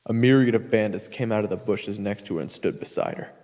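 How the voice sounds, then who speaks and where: A man's voice: fairly low pitch, fast talker, darkish tone, big-room sound, natural voice. A man playing a weary adventurer who narrates his own journey in a scripted first-person fantasy story. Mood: weary, reflective. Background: background music.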